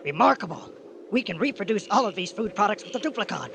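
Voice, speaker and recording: A man's voice: high voice, sing-song, fast, gravelly, a bit bright, full character voice. A man voicing a solemn alien leader with stilted, precise, formal speech in a comic science fiction radio play. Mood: solemn gratitude. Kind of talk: comic sci-fi radio acting. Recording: noisy radio drama recording.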